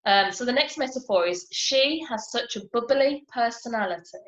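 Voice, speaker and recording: High-voiced woman teaching over video call. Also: animated, moderate pace, a bit bright. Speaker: lesson leader presenting metaphors. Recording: echoey, slightly muffled video call.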